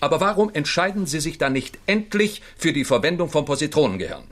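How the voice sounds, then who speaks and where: An actor playing an impatient military general in a 1960s German radio drama, insistent and argumentative. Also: male voice, fairly high pitch, big pitch swings, fast talker. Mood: challenging.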